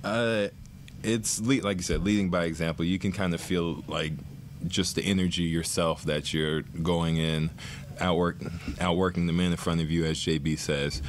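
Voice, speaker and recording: A young man in his twenties calmly answering reporters after a game at a desk mic. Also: deep voice, moderate pace, slight background noise. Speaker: basketball player at press conference. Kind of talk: post-game press answers. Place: arena press room.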